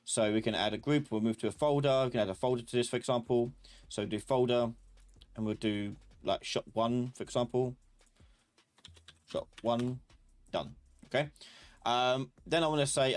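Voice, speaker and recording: A man teaching a live software class, thinking aloud in a casual, informal way as he explains step by step. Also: mid-range voice, normal speed.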